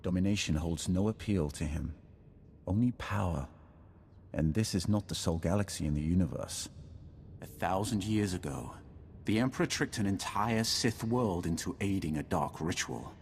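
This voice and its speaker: An actor playing a grave, proud Sith lord in a video game, making measured, ominous declarations. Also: male voice, low voice, animated, creaky, a little hiss or hum. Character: grave Sith lord defector, proud, coldly calculating seer. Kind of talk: dramatic video game acting. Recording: loud music behind the voice.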